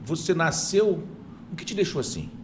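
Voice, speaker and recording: A man hosting a podcast interview, asking questions in a warm, friendly way. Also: on the high side, very animated, normal speed, a little hiss or hum. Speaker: podcast host.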